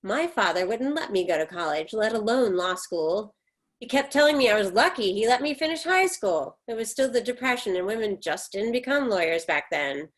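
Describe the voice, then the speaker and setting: A woman's voice: medium pitch, lively intonation, moderate pace, close to an everyday voice. A woman reading the part of an embittered, defensive middle-aged mother in a stage drama, with emphatic, reproachful delivery. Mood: bitter, insistent. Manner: emphatic, reproachful, clipped retorts.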